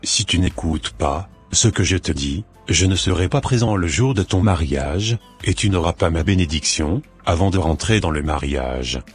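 A man's voice: sits low, expressive, normal speed, husky, faint music behind. A man voicing a stern, authoritarian, scolding father in a scripted cartoon. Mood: angry, stern.

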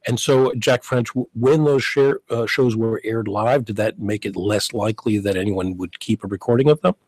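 Husky male radio host. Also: lowish voice, moderate pace, a little mellow, no room sound, low-quality recording. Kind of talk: conversational interviewing. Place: small radio studio. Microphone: close studio condenser mic.

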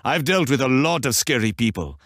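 A man's voice: mid-range voice, very animated, brisk, a bit bright, no room sound, clean studio sound. A man with an Indian accent voicing a confident, playful young warrior in a video game, with teasing, theatrical delivery. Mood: playful banter. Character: confident, playful male warrior agent wielding water artifact. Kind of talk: video game character voice lines.

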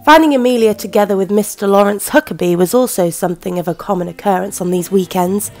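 A woman playing a wry, observant detective narrating a radio crime drama in measured tones. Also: medium pitch, a little mellow, soft background music, natural voice. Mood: wry, reflective.

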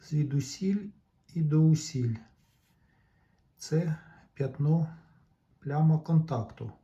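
A man giving a casual, hands-on technical explanation in a deliberate, halting way, pausing mid-sentence. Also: mid-range voice, warm tone, highs cut off. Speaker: tape recorder repair vlogger.

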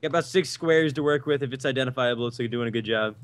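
A man's voice: medium pitch, quick pace. A man in casual gaming banter with friends, joking in a dry way. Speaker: player in group gameplay.

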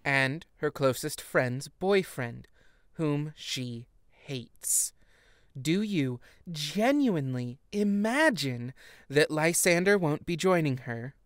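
A woman's voice: sits low, expressive, slow, dry recording, clean studio sound. An actress playing an exasperated fairy queen with a sarcastic, cutting manner in a modern audio drama. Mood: sardonic. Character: exasperated, sardonic fairy queen, sharp-tongued bickering wife.

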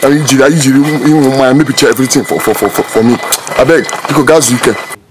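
A man's voice: medium pitch, fast talker, close to an everyday voice. A man acting a scheming, dismissive nephew in a film drama, with a Nigerian accent, blunt and repeating himself. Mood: scornful, dismissive. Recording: noisy location film sound.

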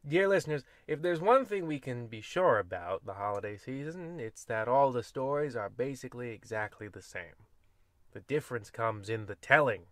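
A man's voice: mid-range voice, lively intonation, normal speed, fairly bright tone, dry recording, close to an everyday voice. Droll, macabre horror radio host played by a man. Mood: mock-ominous. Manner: droll, mock-ominous, rambling. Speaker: narrator. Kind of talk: old-time radio anthology narration.

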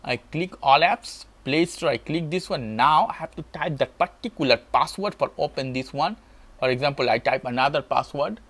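A man's voice: a little high, moderate pace, fairly bright tone. A man hosting a step-by-step tech tutorial in short, simple phrases, with non-native English phrasing. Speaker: tutorial host.

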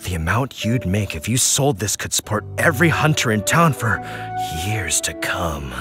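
Game role: declamatory village hunting chief, bright and expressive, measured pace, faint music behind. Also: male voice, lowish voice. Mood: astonished. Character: hearty, solemn village hunter leader judging food. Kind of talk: anime video game voice acting.

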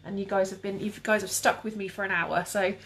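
A young woman with a calm, relaxed voice, demonstrating cake painting live and chatting with viewers. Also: mid-range voice, fairly quick, a little hiss or hum. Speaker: cake decorating tutor.

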